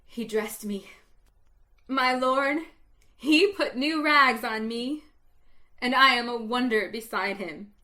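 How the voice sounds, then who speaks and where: A woman reading a story aloud as narrator, in a slow, expressive telling that builds to exultant emotion. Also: fairly high pitch.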